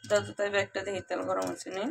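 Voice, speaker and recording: A woman's voice: medium pitch, flat delivery, racing, creaky. A woman with a Bangladeshi accent chatting casually and colloquially to viewers as she vlogs from home. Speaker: home vlogger and mother. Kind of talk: casual home cooking vlog chat. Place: at home, partly in the kitchen while frying. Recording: noisy home recording with clicks.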